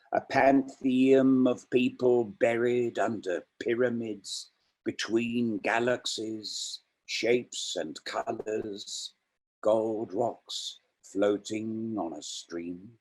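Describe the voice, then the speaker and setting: Very slow man reciting poetry. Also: medium pitch, a little mellow. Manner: measured, pausing between short phrases. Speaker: poet. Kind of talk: poetry reading.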